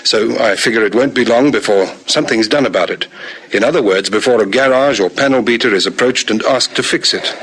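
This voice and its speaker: Methodical South African police lieutenant. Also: male voice, medium pitch, a bit bright, slightly rough, low-quality recording. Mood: businesslike, dutiful. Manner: brisk, methodical, formal. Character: diligent, respectful male police lieutenant on murder squad. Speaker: actor in a radio drama. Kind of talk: vintage radio police drama acting.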